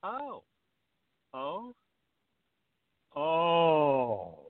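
Man acting a high-voiced, goofy slow bachelor. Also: huge pitch swings, dry recording, heavily acted. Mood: eager, goofy. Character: dim, cheerful young bachelor and aspiring actor. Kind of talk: farce radio play acting. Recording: muffled, phone-like narrow-band audio.

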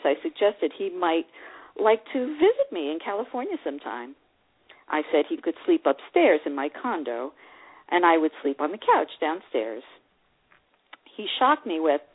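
A hopeful, lovelorn woman played by an actress confides her romantic memories with lively, swooping expression. The sound is narrow and muffled, like a phone line. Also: low voice, full character voice. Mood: fond, teasing. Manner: expressive, confiding storytelling with acted dialogue. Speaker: actress reading a memoir. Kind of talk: dramatized first-person memoir reading.

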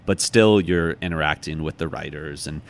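An American man talking casually as an interview guest, telling stories in an animated, reflective way and correcting himself as he goes. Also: extremely low pitch, normal speed. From United States, from Chicago. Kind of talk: casual conversational storytelling.

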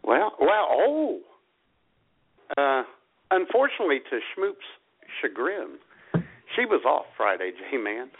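A man co-hosting an internet radio show in casual, playful comedic banter, with a gravelly voice. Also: mid-range voice, big pitch swings, takes it slowly, pauses often. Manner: playful, joking. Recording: dull lo-fi internet radio sound.

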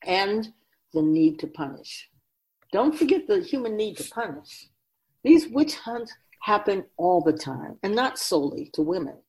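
A woman's voice: mid-range voice, big pitch swings, a little slow, darkish tone, rough recording. A woman playing a wise, grave otherworldly keeper of souls in a radio drama, speaking in an oracular, instructive and emphatic way.

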